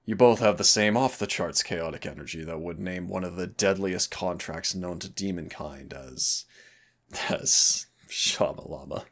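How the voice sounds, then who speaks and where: A man playing a centuries-old demon hunter, teasing and rebellious yet wistful and protective, in an intimate audio roleplay with a gravelly voice. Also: a little slow. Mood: amused, teasing. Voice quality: very low, gravelly creaky voice. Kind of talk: intimate second-person audio roleplay acting.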